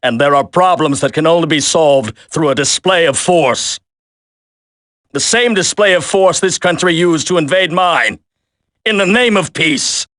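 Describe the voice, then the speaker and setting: Video game villain: resolute terrorist colonel, solemn and measured, forceful higher-set voice. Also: male voice, dry recording. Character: grim, principled male terrorist colonel, resolute and vengeful. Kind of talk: video game cutscene acting.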